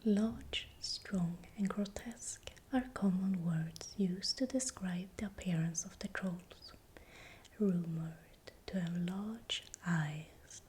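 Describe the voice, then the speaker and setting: A woman with a Swedish accent whispering breathily and deliberately in short, soft phrases, as the host of an ASMR video. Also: medium pitch, a little hiss or hum. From Sweden. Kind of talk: whispered ASMR, explanatory narration.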